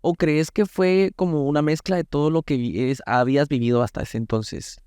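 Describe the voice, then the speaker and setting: A man's voice: fairly high pitch, steady tone, moderate pace, a little mellow, clear, resonant tone, no room sound, studio quality. A man hosting a podcast and asking questions in a casual, conversational way. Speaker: podcast host, interviewer.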